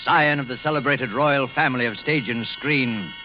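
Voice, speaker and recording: A man's voice: mid-range voice, moderate pace. A man announcing a 1940s radio drama as program announcer, in a formal, dramatic manner. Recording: dull vintage radio broadcast recording. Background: music.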